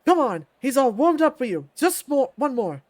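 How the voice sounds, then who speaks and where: A man's voice: extremely high pitch, sing-song, moderate pace, a little mellow, pitched above the performer's own voice. A man voicing a sleazy, brash fight ring announcer who hypes up the bets in a visual novel story. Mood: hyped. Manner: brash showman hype.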